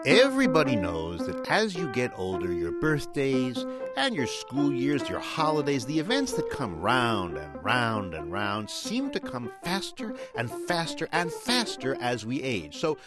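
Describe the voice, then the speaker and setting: A man's voice: mid-range voice, big pitch swings, unhurried, loud music behind the voice. An American man working as a radio science correspondent, telling a story conversationally and dramatically, repeating and stretching key words.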